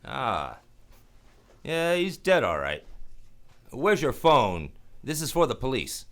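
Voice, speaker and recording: A man playing a laconic, wry private detective in a radio play, terse and deadpan, with music under him. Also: medium pitch, slow pace, heavily acted. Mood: urgent. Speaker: lead actor and narrator. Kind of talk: hardboiled detective radio play, narration and dialogue.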